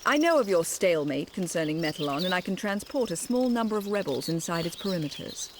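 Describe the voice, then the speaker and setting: A woman playing a solemn, defiant sorceress in a video game, speaking deliberately. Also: fairly low pitch, animated, noisy background, natural voice. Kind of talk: video game cutscene acting.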